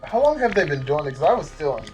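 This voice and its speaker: Woman, deep for a female voice, animated intonation, casual gaming co-host, music behind. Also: fast talker, low-quality recording. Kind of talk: casual let's play chat.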